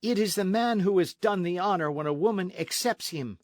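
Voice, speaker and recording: Man voicing a proud, indignant young noblewoman, high-pitched. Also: big pitch swings, a little slow, darkish tone, dry recording, clean studio sound, full character voice. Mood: angry, tearful. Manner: indignant, formal, emphatic. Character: proud, outraged young Spanish colonial noblewoman. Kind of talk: storybook narration with character voices.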